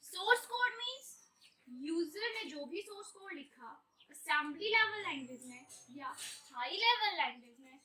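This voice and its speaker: Young Indian woman, lesson host, Indian accent, high voice, slow English definitions. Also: lively intonation, bright, forceful tone, low-quality recording. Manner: mixes English terms into Hindi, slow deliberate English definitions. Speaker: computer science teacher. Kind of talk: explanatory lesson.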